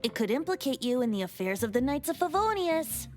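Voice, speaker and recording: Youthful game bard boy, high, lilting, carefree, teasing, light music behind. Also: big pitch swings, fairly quick. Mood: teasing, sly. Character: carefree, playful, tipple-loving young immortal bard boy. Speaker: video game character. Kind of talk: scripted game voice acting.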